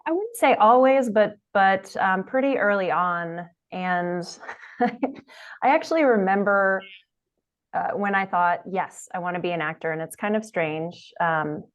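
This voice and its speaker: Woman, actor guest, casual, laughs easily, self-deprecating, video call, mid pitch. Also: expressive, a little slow, highs cut off. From Delaware, USA. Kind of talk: casual interview chat.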